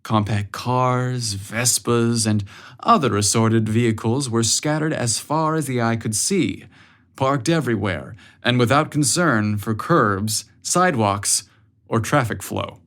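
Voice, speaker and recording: A man narrates a scripted piece of fiction. His voice sits at a middle pitch, and he delivers the prose as an audiobook narrator would. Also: measured pace, a bit bright.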